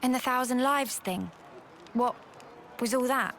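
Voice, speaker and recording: A woman playing an outspoken, excitable young female fighter in a video game, blunt and casual. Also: fairly high pitch, unhurried, a little hiss or hum. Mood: curious. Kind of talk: video game cutscene acting.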